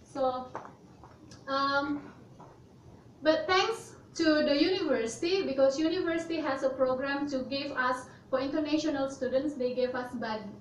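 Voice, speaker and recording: High-voiced woman in a roomy hall. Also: moderate pace, a little hiss or hum. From Indonesia. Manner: hesitant, many fillers and restarts. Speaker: student speaker. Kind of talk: presentation talk. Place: university hall. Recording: roomy, lo-fi sound from a distant mic.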